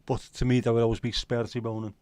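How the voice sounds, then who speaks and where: A man's voice: medium pitch, level tone, quick pace, a little mellow, no room sound. A middle-aged man from Liverpool with a Scouse accent, chatting casually and jokily on a livestream as host, swearing freely as he answers viewers. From Liverpool, England. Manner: banter-filled, sweary, jokey. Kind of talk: casual livestream chat reading viewer comments.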